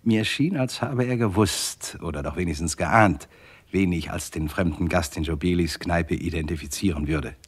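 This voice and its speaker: Gentleman narrator, very low voice, formal, precise, deliberate, radio crime story. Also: breathy edge. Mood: dry, recounting. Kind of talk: scripted first-person narration, radio crime play.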